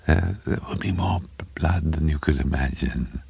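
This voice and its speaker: A man's voice: moderate pace, gravelly, dark tone, breathy edge, dry recording, exaggerated voice. Man playing a breathless, muttering little bearded madman. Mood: absorbed, insistent. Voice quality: low, breathless, sighing, bubbling speech impediment. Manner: stammering, muttering to himself, rambling, sly tittering. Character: small bearded madman, conceited, furtive, fascinated by murder.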